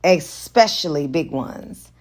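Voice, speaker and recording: A woman's voice: low voice, animated, slow, darkish tone, heavily acted. Woman reading as a coy, flirty romance heroine. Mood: playful, seductive. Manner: coy, playful, seductive.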